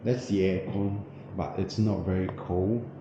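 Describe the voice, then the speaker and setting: Man, low voice, halting casual vlogger talking to a camera in a small room; boomy and slightly dull. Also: fairly even intonation. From New Zealand. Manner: halting, repeats and corrects himself with many fillers. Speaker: travel vlogger. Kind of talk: casual vlog walkthrough. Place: small windowless hotel room. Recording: echoey room, muffled, noisy background.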